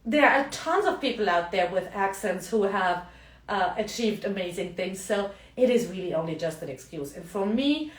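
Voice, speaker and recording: A woman's voice: medium pitch, expressive, normal speed. German-accented woman coaching in a roomy space. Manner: chatty, frequent tag questions. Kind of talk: live video talk. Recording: roomy, mic at a distance.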